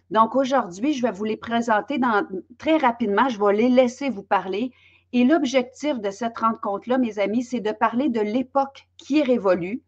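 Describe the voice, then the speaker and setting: A woman's voice: on the high side, a little mellow. Hesitant Belgian-born woman host, muffled audio. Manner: hesitant, many fillers and restarts. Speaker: host and interviewer. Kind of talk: introducing an online interview. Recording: slightly dull lo-fi audio.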